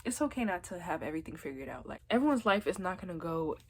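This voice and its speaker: A woman's voice: lowish voice, sing-song, fast talker, a little mellow, breathy edge. A young woman with a stuffy, congested nose from a cold, giving chatty, reassuring advice in a casual vlog. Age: young adult. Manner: chatty, reassuring, many likes and literallys. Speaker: vlogger.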